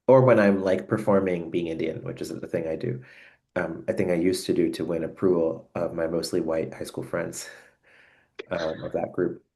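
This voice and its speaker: A man hosting a reflective conversation over a video call, speaking in an earnest, searching and halting way. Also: low voice, fairly even intonation, dark tone. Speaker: host.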